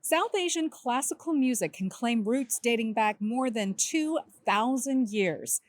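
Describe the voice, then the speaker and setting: A woman correspondent opening a news feature in a crisp, informative voice. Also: a little high, animated, unhurried, no room sound, clean studio sound. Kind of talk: scripted news narration.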